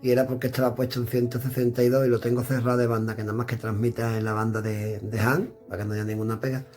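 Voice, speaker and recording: A man's voice: mid-range voice, flat delivery, quick pace, darkish tone, faint music behind. A middle-aged man from chatting casually indoors as he demonstrates radio equipment, thinking aloud while he fiddles with the devices. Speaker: radio gear reviewer.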